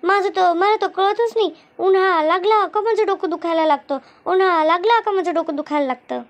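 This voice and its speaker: A woman voicing a chatty, good-humoured village gossip in an animated comedy, with a rural Maharashtrian accent and exclaiming, colloquial talk. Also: very high voice, fairly quick. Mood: cheerful. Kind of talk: animated cartoon comedy dialogue.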